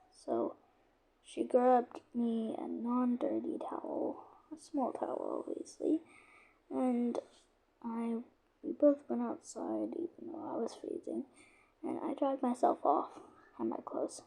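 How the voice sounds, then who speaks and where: Woman, sore gravelly voice, slightly high, unhurried rambling first-person storyteller who half-whispers. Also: poor audio. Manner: soft, often slipping into a whisper; rambling, with frequent "obviously" and restarts. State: sore, lowered voice. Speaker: channel creator narrating a roleplay.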